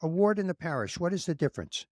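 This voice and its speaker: A man from New York who hosts a podcast and asks loose, rambling questions. His voice sits a little high for a man. It sounds dry and close to the mic. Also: sing-song, very fast, darkish tone, no room sound. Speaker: podcast host. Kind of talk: casual interview.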